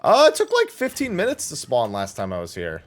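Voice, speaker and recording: A man's voice: mid-range voice, wildly swooping pitch, fairly quick, soft background music. A man giving casual, rambling livestream commentary as he plays a game, thinking aloud. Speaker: livestream gamer and host.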